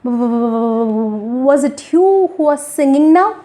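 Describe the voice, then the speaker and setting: A woman's voice: sits high, animated, drawn-out words, dark tone, voice pushed down, exaggerated voice. A woman storyteller voicing a curious, eager male hunter in a folk tale, speaking plainly.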